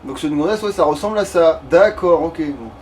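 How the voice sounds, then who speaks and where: A man's voice: on the high side, lively intonation, brisk, a little mellow, distant mic. A man playing a video game and chatting casually, muttering and swearing in slang. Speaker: let's player. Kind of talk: casual gaming chat. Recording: faint background noise.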